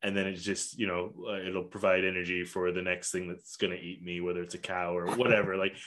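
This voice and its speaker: A man's voice: low-pitched, flat delivery, brisk, fairly bright tone. A man in his thirties, raised in Malaysia, talking in a loose, rambling podcast chat full of fillers and restarts. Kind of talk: casual conversation.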